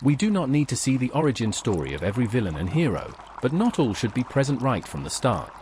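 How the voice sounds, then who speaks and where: A man's voice: medium pitch, big pitch swings, fast, a little mellow, a little hiss or hum. A man hosting a pop culture show, reading scripted explanatory narration.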